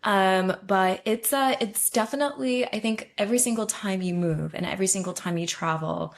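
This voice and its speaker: A young adult woman from Ukraine, a travel consultant, answering interview questions in a relaxed, hesitant way with many ums and restarts. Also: mid-range voice, moderate pace. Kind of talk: casual interview conversation.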